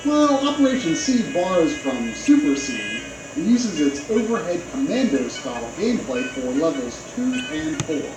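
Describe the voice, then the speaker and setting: A man's voice: a little high, very animated, normal speed, hardly any pauses. A man narrating a scripted video game review in a conversational, opinionated way, with casual asides. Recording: roomy, played back with game music behind.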